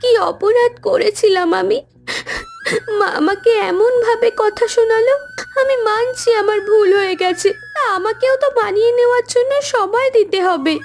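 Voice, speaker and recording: A woman voicing a gentle young daughter-in-law in a cartoon, pleading and easily hurt. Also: very high voice, moderate pace. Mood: tearful, hurt. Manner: plaintive, pleading. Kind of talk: Bengali cartoon dialogue acting. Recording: background music under voice.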